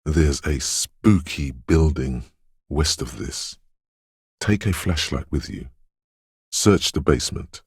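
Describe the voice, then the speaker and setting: A man voicing a brooding, dreamlike inner voice of intuition in a video game, speaking in a slow, hushed, portentous and theatrical way. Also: lively intonation, no echo, studio quality, full character voice. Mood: ominous, mysterious. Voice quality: very deep, husky, gravelly whisper-edged rumble. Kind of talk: dramatic video game voice acting. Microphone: close, dry studio microphone.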